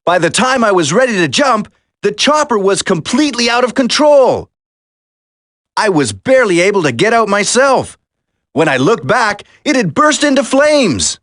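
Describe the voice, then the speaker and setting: An earnest special forces operative in a video game. His voice sits high and swings widely in pitch, recorded very dry and close. He stays steady and emphatic. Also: normal speed, dry recording. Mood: grave. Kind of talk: dramatic video game voice acting.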